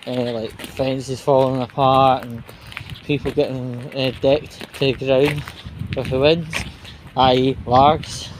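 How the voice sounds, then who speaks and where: Slow-talking man grumbling outdoors over street noise. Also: medium pitch, little pitch movement, low-quality recording. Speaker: vlogger. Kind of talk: casual walk-and-talk monologue. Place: outdoors, walking at night. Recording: clear outdoor ambience and vehicle noise.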